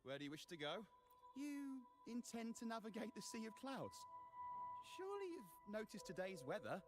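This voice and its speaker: A game character, who is a courteous and cautious harbour master. His speech is formal and full of concern, with lively swings in pitch. Soft music sits underneath. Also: moderate pace. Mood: formal welcome. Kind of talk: video game voice acting.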